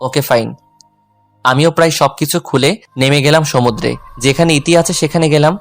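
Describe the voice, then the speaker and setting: Casting: male actor as young husband in romance audio drama, lightly high voice, jealous and playful, soft music bed. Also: normal speed, lightly acted. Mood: jealous, hurt. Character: jealous, teasing young husband narrating his love story. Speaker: narrator and male lead of an audio love story.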